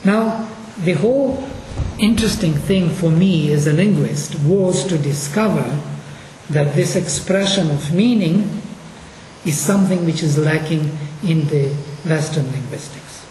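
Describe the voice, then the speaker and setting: Russian accent, lecturer, mellow voice, unhurried explaining, reverberant hall, distant mic. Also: mid-range voice, a little hiss or hum. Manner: slow, deliberate explaining. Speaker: lecturer on linguistics. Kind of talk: course lecture.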